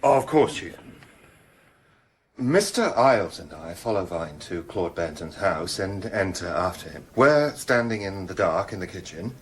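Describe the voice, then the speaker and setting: An actor playing an earnest, worried police detective in a radio crime drama, cautious but insistent, sometimes hesitant. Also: male voice, low voice, expressive, a little slow, slight background noise. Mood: formal, careful.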